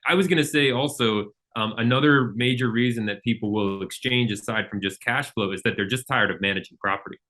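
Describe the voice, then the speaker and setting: A man from Pennsylvania, a podcast guest in finance, talking casually and agreeably with quick affirmations. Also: medium pitch, pushed and bright.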